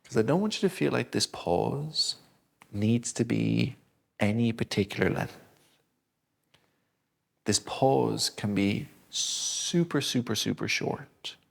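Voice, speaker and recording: A man gently guiding a breathing exercise, speaking slowly and soothingly. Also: medium pitch, expressive, gravelly. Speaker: breathing instructor. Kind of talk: guided breathing lesson.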